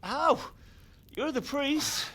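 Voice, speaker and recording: A man's voice: extremely high pitch, lively intonation, extremely slow, pushed and bright, full character voice. An actor playing a blunt, exasperated young man in a medieval video game cutscene. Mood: incredulous, exasperated. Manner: blunt, indignant.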